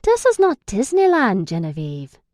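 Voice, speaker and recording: A woman voicing an irritable, sarcastic young male captor who scolds loudly and with emphasis. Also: medium pitch, huge pitch swings, moderate pace, dry recording, clean studio sound, voice pushed up. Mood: stern.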